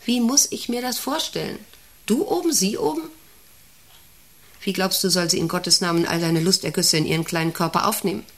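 An actress playing a middle-aged, self-important and intrusive company director in a radio play, rambling and domineering with a creaky voice. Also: female voice, fairly low pitch, moderate pace, natural voice. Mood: prying, insistent. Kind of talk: radio play monologue and dialogue.

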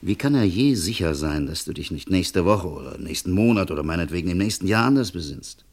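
Stern advising friend, low voice, firm, emphatic, reasoning, old radio crime drama. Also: male voice, expressive, fairly quick. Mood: urgent, persuasive. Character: level-headed, insistent male friend giving stern advice. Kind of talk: vintage German radio crime play acting.

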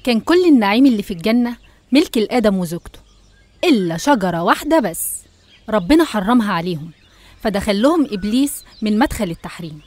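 A woman with an Egyptian accent narrating a scripted animated story. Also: medium pitch, quick pace.

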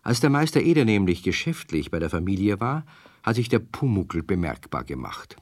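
A man's voice: fairly low pitch, very animated, normal speed, no room sound, studio quality. A man narrating a children's radio story, speaking directly to the young listeners. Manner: warm, addresses children directly.

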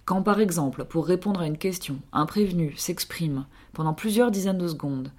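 Woman, low voice, measured delivery, scripted radio column. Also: darkish tone.

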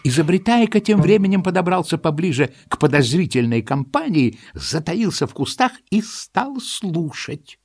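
A man narrating a children's audio play in an expressive storytelling style. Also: fairly high pitch, moderate pace, hardly any pauses, slightly rough. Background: music.

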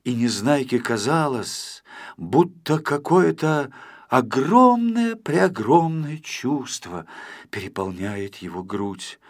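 A man's voice: mid-range voice, sing-song, takes it slowly. A man narrating a children's story expressively as an audiobook reader.

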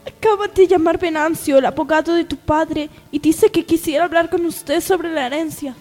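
A woman's voice: extremely high pitch, normal speed, darkish tone, long reverb tail, soft background music. A woman playing a young woman in an amateur language radio soap opera. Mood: deferential.

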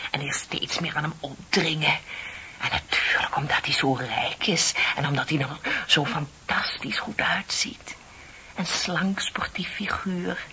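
An actress in a radio play voicing a middle-aged single woman, gushing, dramatic and romantically deluded, confiding in breathy swells. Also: deep voice, sharp tone, slight background noise. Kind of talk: Dutch radio play acting. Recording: dull, lo-fi radio play recording.

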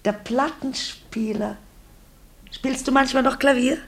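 A woman's voice: high voice, steady tone, unhurried. An actress playing a doting, fussy middle-aged mother in a mid-century radio play, effusive and sentimental. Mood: delighted, gushing. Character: doting, fussy, increasingly uneasy visiting mother. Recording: noisy vintage radio play recording.

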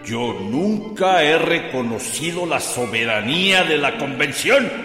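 An actor playing a stubborn, indignant political leader in a Spanish-language radio drama, haughty and emphatic. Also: male voice, a little high, animated, a little slow, echoey room, big-room sound. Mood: firm, defiant. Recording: loud music and crowd behind voice.

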